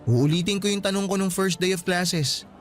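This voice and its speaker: A man's voice: high-pitched, moderate pace, faint music behind, natural voice. A man playing a teasing young boyfriend. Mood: frank. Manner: playful and teasing, but frank when serious. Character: teasing, affectionate young male student boyfriend. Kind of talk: radio drama acting.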